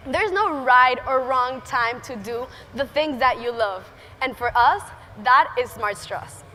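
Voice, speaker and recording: High bright Venezuelan-accented teen woman. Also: expressive, slow, slight background noise. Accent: Venezuelan Spanish. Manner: nervous, giggly, earnest. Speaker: student entrepreneur speaker. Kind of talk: prepared conference talk. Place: large conference hall stage. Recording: reverberant hall with faint ambience.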